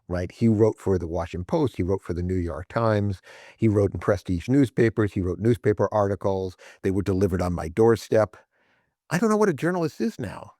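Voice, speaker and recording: A man's voice: fairly low pitch, warm tone, dry recording, studio quality. A man hosting a podcast, asking probing, rhetorical questions of his guest. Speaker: podcast host. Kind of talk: interviewer questions.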